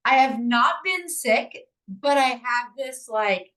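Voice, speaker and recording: A woman in her forties with a raspy, hoarse voice, hosting a casual podcast in an upbeat, encouraging way with frequent fillers. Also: on the high side, lively intonation, slow, pushed and bright. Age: 40s. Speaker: podcast host and author.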